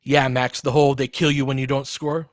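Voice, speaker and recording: A man's voice: mid-range voice, flat delivery, fairly quick, no echo, clean studio sound. A man doing casual live basketball play-by-play and analysis, mixing short clipped phrases with conversational asides as a partisan fan of the team.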